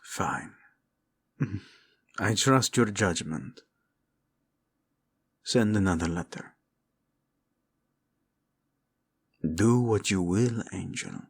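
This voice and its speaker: A man acts out a devoted prince, protective and tender with his beloved. His voice is husky and moves slowly, with wide, expressive swings in pitch. The phrasing is formal yet intimate, sprinkled with Spanish endearments, in the style of a romantic audio drama. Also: exaggerated voice. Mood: gentle, fond. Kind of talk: romantic audio roleplay acting.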